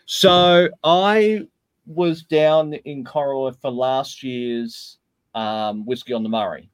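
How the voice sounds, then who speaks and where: A man's voice: medium pitch, slow, clean tone, dry recording. A man hosting a casual podcast, joking around and hesitating with lots of fillers. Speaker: podcast host.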